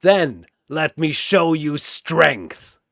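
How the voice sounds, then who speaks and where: A man's voice: a little high, lively intonation, very slow, creaky, a bit bright, dry recording, studio quality, full character voice. A man voicing an earnest, noble muscular hero who declaims with loyalty in a fantasy battle story. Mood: defiant.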